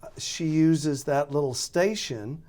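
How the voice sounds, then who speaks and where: An American man being interviewed as a radio drama producer, talking casually and haltingly as he thinks aloud. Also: on the high side, darkish tone.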